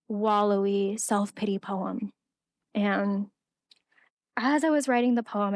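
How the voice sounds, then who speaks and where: A woman's voice: medium pitch, darkish tone, dry recording, clean studio sound. A young woman poet reading her poem aloud and then giving a casual talk with many fillers at a college event.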